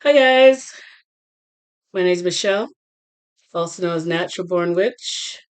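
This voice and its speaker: A woman tarot reader talking casually to her viewers, halting with many fillers and restarts while getting over a cold. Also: fairly low pitch, smooth. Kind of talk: casual rambling vlog talk.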